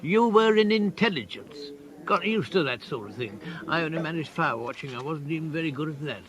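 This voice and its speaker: A man playing a mild, hesitant middle-aged gentleman with an English accent in a comic radio play, polite and old-fashioned. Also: a little high, expressive, brisk, crowd noise, natural voice, low-quality recording. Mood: reluctant.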